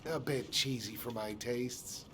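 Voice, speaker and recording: A man's voice: mid-range voice, measured pace, lightly acted. A man playing an easygoing, wry, helpful store worker in a video game cutscene. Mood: amused, nostalgic. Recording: clearly noisy game stream, ambience.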